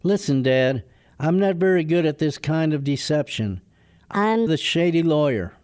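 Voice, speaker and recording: A man acts a soft-hearted, self-deprecating young man in a radio drama. His voice sits slightly high and is very relaxed and warm. He speaks hesitantly, gloomy and cowed, in a dry, close recording. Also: moderate pace, clear, resonant tone, no echo, natural voice. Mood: nervous, apologetic.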